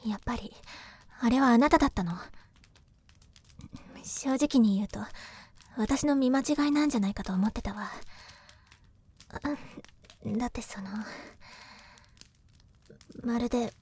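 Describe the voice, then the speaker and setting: High, breathy young princess, played by a woman. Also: a little slow, pauses often, a little mellow. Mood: hesitant. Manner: refined feminine speech, earnest. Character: young princess, proud, earnest, affectionate. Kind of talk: Japanese voice drama, intimate scripted dialogue.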